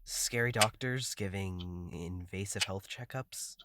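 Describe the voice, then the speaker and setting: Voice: deep voice, slow, heavy background noise. An actor playing an anxious, sullen agender psychiatric patient in an audio drama, speaking in a hesitant, guarded way.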